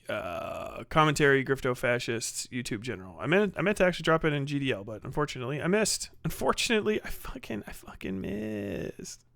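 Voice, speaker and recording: A man's voice: a little high, a bit bright, clear, resonant tone, no room sound. A man hosting a livestream, ranting casually with sarcasm and profanity, laughing at his own jokes into a close mic. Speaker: livestream host.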